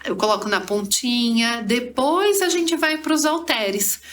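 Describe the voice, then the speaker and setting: A woman's voice: a little high, slow pace, a bit bright. Woman explaining, with noticeable background noise. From Brazil. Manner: didactic, with frequent tag questions and diminutives. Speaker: guest speech therapist. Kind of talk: conversational explanation on a podcast.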